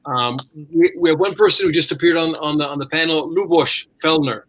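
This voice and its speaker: A man's voice: fairly high pitch, brisk, a bit bright. A man hosting an online panel, asking the guests questions in a friendly way with frequent restarts. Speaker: panel moderator.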